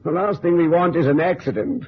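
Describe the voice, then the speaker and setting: A fussy old general in a vintage radio play, high and husky, clipped and commanding, coolly callous. The recording is muffled and thin. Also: male voice, brisk. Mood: barking orders. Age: older. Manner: clipped, commanding military bark. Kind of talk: old-time radio drama acting.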